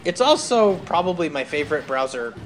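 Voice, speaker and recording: A man's voice: a little high, big pitch swings, brisk, low-quality recording. A man chatting casually as host of a gaming stream, rambling and digressive with bookish word choices. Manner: rambling, digressive, uses academic words.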